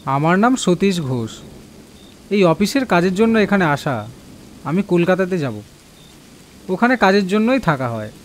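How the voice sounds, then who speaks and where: A man's voice: sits high, big pitch swings, measured pace, long pauses, slight background noise. A man playing a polite, slightly nervous office worker stranded at night in an audio horror drama. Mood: uneasy, polite.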